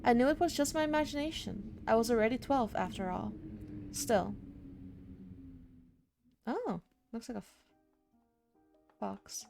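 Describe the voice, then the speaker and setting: A woman's voice: on the high side, a little slow, creaky, pauses often, music in the background. A woman doing casual let's-play commentary and reading game text aloud, sounding slightly under the weather. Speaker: streamer playing a horror visual novel.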